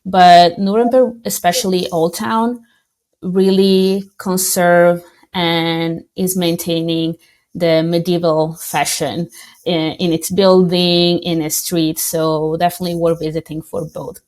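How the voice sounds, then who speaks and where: Woman w/ lowish voice, lots of ums and little laughs, chatty interview. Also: level tone, slow, a little mellow. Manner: hesitant with fillers, laughs often. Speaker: tourism board representative. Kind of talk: conversational interview answers.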